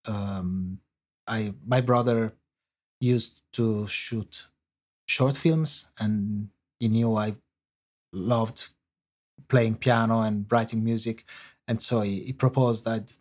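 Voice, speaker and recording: A man's voice: fairly low pitch, steady tone, darkish tone. Man with an Italian accent. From Italy. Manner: halting, searching for words in English. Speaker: guest composer. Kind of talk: podcast interview answers.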